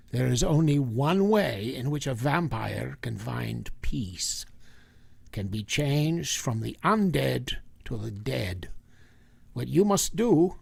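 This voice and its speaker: An actor playing a grave, intense professor who hunts vampires, speaking with solemn emphasis and dramatic pauses in vintage radio style. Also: male voice, medium pitch, vocal fry, darkish tone, husky, dry recording, heavily acted.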